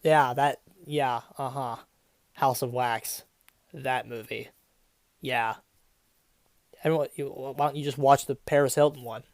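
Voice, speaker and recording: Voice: mid-range voice, fairly even intonation, measured pace, long pauses, no echo. A reviewer in their twenties reading aloud in a theatrical mock-serious style, breaking off into sarcastic, mocking commentary. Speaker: online fanfiction reviewer.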